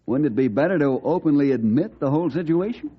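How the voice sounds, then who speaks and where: An actor playing a young, respectful ranger hero in an earnest, measured old-time radio style. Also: male voice, a little high, animated, breathy edge. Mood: concerned. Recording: dull old radio recording.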